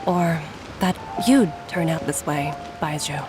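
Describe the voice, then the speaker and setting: A woman's voice: low voice, slow, over music. A woman playing a weary, caring doctor and mother in a video game, with a wistful, earnest manner. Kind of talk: scripted video game voice acting.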